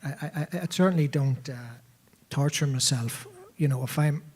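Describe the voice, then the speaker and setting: A man, a piper on a panel, speaking modestly and calmly with stammered starts. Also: on the high side, moderate pace, husky. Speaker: Irish piper, panel guest. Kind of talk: casual panel conversation.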